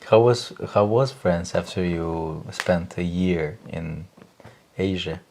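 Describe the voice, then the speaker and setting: A male interviewer with a very deep, gravelly voice asks brief, quiet questions at a slow pace. He sits away from the microphone, so he sounds distant, and faint hiss with small clicks runs under his voice. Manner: short, quiet questions from off-mic.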